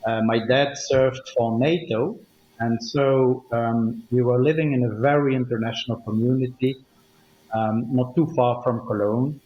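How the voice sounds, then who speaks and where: A man, a native Dutch speaker, answering interview questions in a thoughtful, hesitant way with many pauses. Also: mid-range voice, steady tone, reverberant, dull audio. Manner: thoughtful, hesitant with frequent ums. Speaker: guest, company legal officer.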